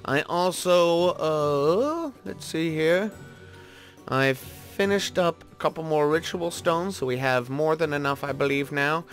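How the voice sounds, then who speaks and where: Casual male gamer, slightly high-pitched voice. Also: gravelly. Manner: rambling, thinking aloud, talking to viewers. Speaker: gaming video host. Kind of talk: casual Minecraft let's-play commentary.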